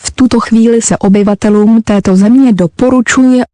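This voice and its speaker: A woman's voice: on the high side, fairly even intonation, normal speed, dark tone, no echo, studio quality. A woman announcer reading a scripted government emergency bulletin in a formal, impersonal manner. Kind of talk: scripted emergency broadcast narration.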